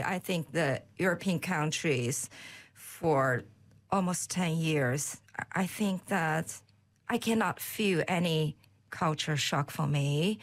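A woman with a somewhat low voice answers interview questions. She speaks hesitantly and often repeats words as she searches for what to say. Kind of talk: casual interview chat.

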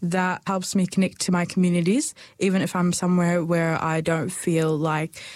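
A young woman, speaking earnestly and thoughtfully about her heritage, with small pauses and restarts. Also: fairly low pitch, flat delivery, a little mellow, dry recording. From New Zealand. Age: young adult. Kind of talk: personal reflection.

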